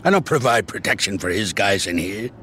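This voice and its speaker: An actor playing an older mob boss, blunt and dry-toned. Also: male voice, lowish voice, lively intonation, fairly quick, pushed and bright, a little hiss or hum. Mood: calm. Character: older male mob boss, calm and commanding.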